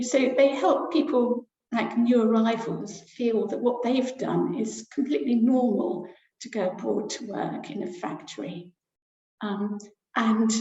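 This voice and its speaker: A middle-aged woman lecturer giving an academic webinar talk over a video call, pausing often with fillers as she thinks aloud. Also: mid-range voice, dark tone. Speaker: university lecturer presenting research. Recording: roomy, dull lo-fi webinar audio.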